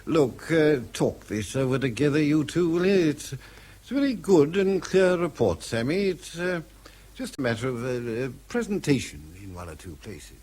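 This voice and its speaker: An elderly British professor in a radio drama. The voice is raised a little, husky and lively in pitch. He dithers vaguely, repeating himself and trailing through hesitations, flustered but in charge. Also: normal speed.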